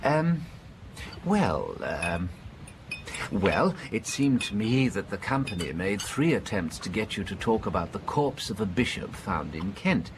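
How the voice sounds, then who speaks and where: Low, gentle British priest sleuth, politely bumbling and hesitant, for radio drama with clear background noise. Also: male voice, very animated, a little slow. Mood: mild, hesitant. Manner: hesitant, gentle, murmuring agreement.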